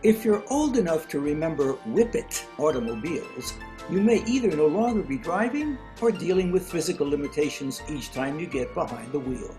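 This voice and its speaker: A man's voice: fairly high pitch, lively intonation, normal speed, over music. A man serving as master of ceremonies, giving genial, announcer-like scripted introductions over a video call.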